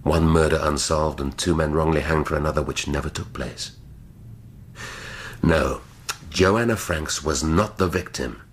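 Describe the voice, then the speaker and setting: Middle-aged English detective for radio drama: very deep, measured, brooding, faint background noise. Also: male voice. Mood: grave, pondering. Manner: measured, deliberate, dry. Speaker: actor.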